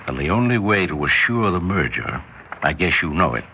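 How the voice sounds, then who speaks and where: An actor in a 1960s radio drama playing a smooth, scheming brother, speaking in a measured, insinuating way. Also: male voice, deep voice, big pitch swings. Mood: cold, conspiratorial. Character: smooth, scheming brother in a family business plot. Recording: muffled, hissy 1960s radio recording.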